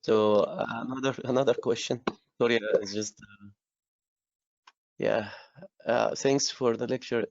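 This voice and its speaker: A man losing his voice, moderating a lecture Q&A over a video call, halting with fillers and restarts. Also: mid-range voice, fairly even intonation, gravelly, no room sound. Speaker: course director and moderator. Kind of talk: online lecture Q&A. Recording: dull muffled web call audio.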